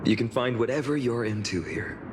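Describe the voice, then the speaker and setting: An actor playing an earnest, dutiful young swordsman in a story game, solemn and sincere in a measured way. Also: medium pitch, big-room sound, background noise, close to an everyday voice. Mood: relaxed, friendly. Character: earnest young male swordsman, serious, dutiful, self-reproaching. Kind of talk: voiced game story dialogue.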